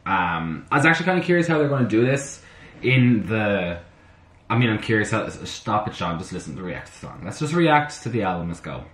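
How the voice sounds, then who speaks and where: A slightly hoarse young man chatting excitably to camera in his bedroom, talking to himself as he reacts to music. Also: lowish voice, fairly quick. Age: young adult. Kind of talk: casual reaction chat. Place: bedroom at home, indoors. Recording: roomy bedroom with faint music. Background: soundtrack music playing faintly.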